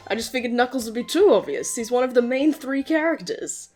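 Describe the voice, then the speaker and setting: High-voiced sweary woman chatting over music. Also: expressive, moderate pace, a little mellow. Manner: sweary, enthusiastic. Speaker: host quizzing a partner on game characters. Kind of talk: casual chat, explaining lore. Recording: background music under the voice.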